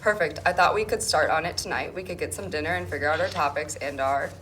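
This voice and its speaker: Possessive, jealous student read by a woman, lowish voice, faint noise behind the table read. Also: fast, fairly bright tone. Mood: eager. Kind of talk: amateur table read of drama script. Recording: faint noise, amateur room recording.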